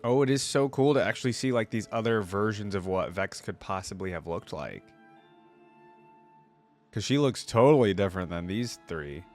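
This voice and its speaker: Reaction host: young male, slightly low pitch, laid-back and appreciative casual commentary, soft music in the background. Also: lively intonation, normal speed.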